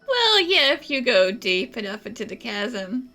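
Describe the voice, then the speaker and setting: A woman's voice: a little high, sing-song, unhurried, pushed and bright. A woman giving casual, chatty and wry commentary as she plays through a video game. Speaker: gaming streamer. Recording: faint game music behind.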